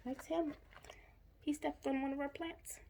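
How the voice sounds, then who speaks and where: A woman's voice: high-pitched, fairly even intonation, unhurried, gravelly, dark tone, slight background noise. A woman commenting on picture book scenes for young children, pointing things out in simple short phrases. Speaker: story reader commenting on pictures.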